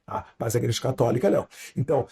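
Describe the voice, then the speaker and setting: A man in an interview explaining storytelling with examples, speaking Portuguese learned in southern Brazil with a foreign accent and an animated teaching manner. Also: mid-range voice, rapid-fire, slightly rough. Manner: animated teacher, drops to quiet asides, tags lines with "tá?". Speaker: storytelling consultant, guest.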